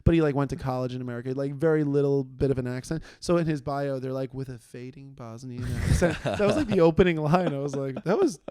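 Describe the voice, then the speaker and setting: A man's voice: medium pitch, fast, hardly any pauses, dark tone. A man hosting a comedy podcast, chatting loosely and joking in a rambling way. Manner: rambling, joking, trails off into mumbles. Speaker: podcast host. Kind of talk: casual comedy podcast chat.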